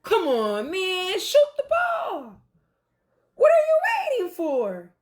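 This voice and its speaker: A woman voicing excitable men shouting at a basketball game in a storybook, exclaiming with impatience and excitement. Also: very high-pitched, takes it slowly, pitched above the performer's own voice, full character voice. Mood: impatient, indignant. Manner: loud, exclaiming, impatient cheering and protesting.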